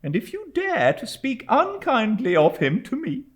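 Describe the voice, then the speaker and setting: A man's voice: very high-pitched, huge pitch swings, a little slow, husky, pitched above the performer's own voice, exaggerated voice. A man voicing a timid, fragile young widowed mother in a storybook reading, with a faltering, plaintive and helpless manner.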